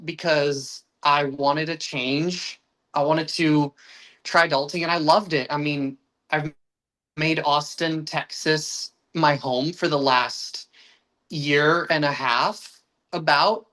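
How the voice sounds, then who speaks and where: A man's voice: on the high side, fairly bright tone. A young man from the United States, a podcast guest who talks earnestly with frequent restarts and uneven pacing. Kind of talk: casual podcast conversation.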